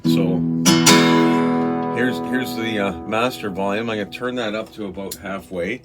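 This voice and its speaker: A man's voice: fairly low pitch, unhurried. A man casually reviewing music gear, thinking aloud in a hesitant, unscripted way. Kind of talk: casual unboxing and gear demo. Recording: clearly noisy room recording.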